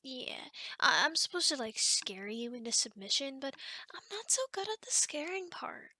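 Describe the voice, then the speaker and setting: An awkward young female sleep demon, acted by a woman, with a high, bright voice in a very dry, close recording. She rambles apologetically in a hesitant, chatty way, slipping toward whispers as in an ASMR roleplay. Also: sing-song, normal speed, dry recording, exaggerated voice. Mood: awkward, flustered.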